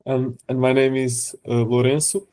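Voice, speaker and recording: A young man in his twenties talks as an interview guest, hesitant and full of false starts. His voice is mellow and dark, with a flat, even delivery. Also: mid-range voice, normal speed. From Portugal. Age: 20s. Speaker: composer.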